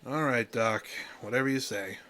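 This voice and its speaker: A man's voice: mid-range voice, normal speed, bright, forceful tone, lightly acted. An actor playing a plain-spoken, compliant policeman in an audio drama. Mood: reluctant, compliant.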